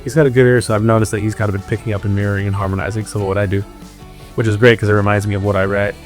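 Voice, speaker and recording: A man's voice: on the low side, little pitch movement, racing, soft background music. A man, an indie band musician, chatting casually and jokingly in an interview. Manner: joking, banter with bandmate.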